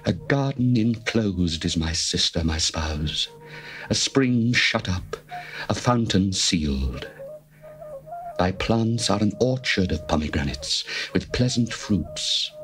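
A man playing a slow, devoted bridegroom. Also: lowish voice, wildly swooping pitch, a bit bright, a little hiss or hum, exaggerated voice. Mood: adoring. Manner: slow, measured, reverent delivery. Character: adoring male bridegroom, young adult, tender and devoted. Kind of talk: dramatized scripture reading.

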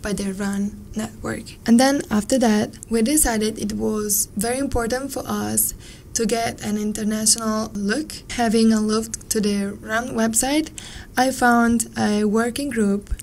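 A woman with a northern Italian accent, a volunteer psychologist answering interview questions in a measured, earnest way, Italian being her first language. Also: fairly high pitch, steady tone, gravelly, a little mellow, slight background noise. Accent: Italian, from around Turin. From northern Italy. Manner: measured, earnest, careful non-native phrasing.

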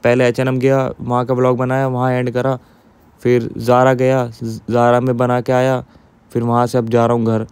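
A young man recording a voice-over for his vlog, sounding drained and exhausted, talking in a slow, tired way. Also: medium pitch, monotone, a little mellow, clear, resonant tone, no room sound. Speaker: vlogger. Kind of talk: voice-over narration. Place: riding in an auto rickshaw.

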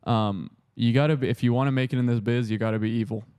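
A man hosting a comedy podcast, leading the segment in deadpan casual banter. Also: mid-range voice, fast talker, smooth, no room sound, studio quality. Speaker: podcast host.

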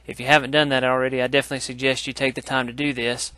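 A man hosts a casual how-to tutorial, explaining in an instructional way. His voice sits at an ordinary male pitch with a bright, forward edge. The sound is dry, with very little room in it. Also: little pitch movement, very fast, clear, resonant tone, no echo. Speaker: woodcarving tutorial host.

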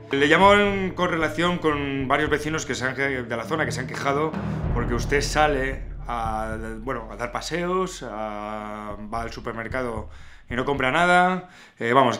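A man in his thirties with an accent from Spain, making a prank phone call as a stern policeman, halting and scolding. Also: medium pitch, sharp tone. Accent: Spanish from Spain. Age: 30s. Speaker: prank caller. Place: small room at home.

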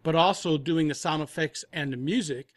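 A man's voice: on the high side, moderate pace, no echo. A man giving conversational interview answers as a guest producer, explaining things at length in rambling detail. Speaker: audio drama producer and composer, guest.

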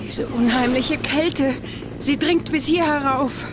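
Young heroine: high, incredulous, uneasy radio play voice over clear engine noise. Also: female voice, takes it slowly. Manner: expressive, incredulous. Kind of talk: children's sci-fi radio play acting. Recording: helicopter noise behind the voice. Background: helicopter noise.

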